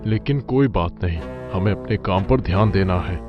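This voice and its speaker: A man's voice: low-pitched, normal speed, natural voice. Man playing a humble village eatery owner, dull recording. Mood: patient. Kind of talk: cartoon village tale acting. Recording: dull lo-fi track with music.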